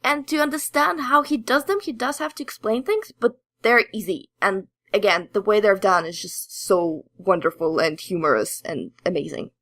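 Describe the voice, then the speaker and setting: A woman's voice: medium pitch, lively intonation, moderate pace, few pauses, a bit bright, no room sound. A woman co-hosting a podcast, explaining and recommending things in casual, enthusiastic, emphatic talk. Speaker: podcast co-host. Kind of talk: casual chat, enthusiastic review.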